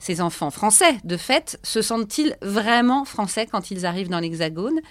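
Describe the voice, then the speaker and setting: A woman's voice: medium pitch, lively intonation, normal speed, dry recording. A middle-aged woman from France hosting a podcast, narrating and interviewing in a conversational way with frequent hesitation fillers. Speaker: podcast host.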